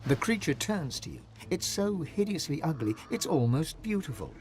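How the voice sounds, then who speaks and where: A man narrating a dark fantasy video game as an expressive, dramatic storyteller. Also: a little high, moderate pace. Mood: hushed intrigue.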